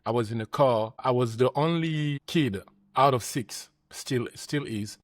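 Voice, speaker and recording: Male host from Cameroon, earnest, mid pitch, dry close recording. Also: unhurried, no echo. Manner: earnest, drops low and soft at ends of phrases. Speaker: podcast host and life coach. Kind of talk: interview conversation.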